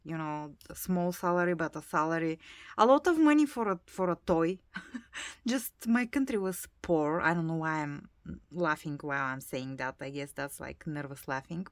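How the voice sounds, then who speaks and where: A Bulgarian-accented woman in her thirties talks slowly and chattily about her dolls, pausing often with hesitant fillers. Her voice sits a little low, and the recording is dry and close. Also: dry recording. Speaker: doll collector vlogger. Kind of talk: casual hobby vlog narration.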